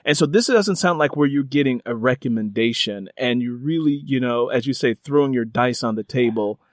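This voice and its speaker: Male American interviewer, average pitch, very little room sound, asks long, looping questions in a conversational style. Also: brisk, dry recording, clean studio sound. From United States.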